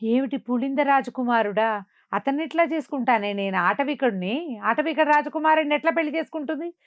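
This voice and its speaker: A woman's voice: high-pitched, lively intonation, brisk, dry recording, lo-fi sound, voice pushed up. A woman storyteller voicing a dismayed, self-doubting young forest princess in a questioning, fretful manner.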